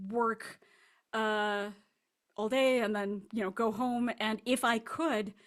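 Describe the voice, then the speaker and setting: A woman speaking as an author guest in an interview, answering in a casual, reflective way. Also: medium pitch.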